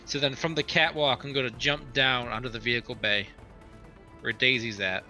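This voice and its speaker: A man's voice: medium pitch, fairly even intonation, normal speed, bright, forceful tone. A man chatting casually as a player in a tabletop roleplaying game. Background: background music.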